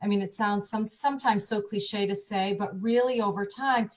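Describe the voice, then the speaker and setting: A woman working as a divorce coach, explaining calmly on a talk panel, often restarting her sentences. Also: mid-range voice, normal speed. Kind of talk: panel discussion.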